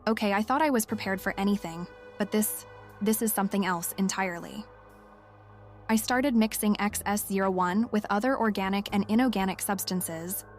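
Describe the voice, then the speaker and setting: A woman's voice: mid-range voice, normal speed, close to an everyday voice. A woman playing an obsessed, frightened scientist confiding intensely and hesitantly in a spoken audio-drama log. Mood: awed unease. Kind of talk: dramatic monologue as spoken diary. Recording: music under the voice. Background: music.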